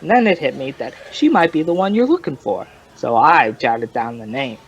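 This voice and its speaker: Folksy, rambling barfly, higher-pitched man. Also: big pitch swings, muffled recording. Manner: digressive, colloquial, drawn-out storytelling. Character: folksy, rambling male barfly informant telling his story. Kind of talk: old-time radio crime drama acting.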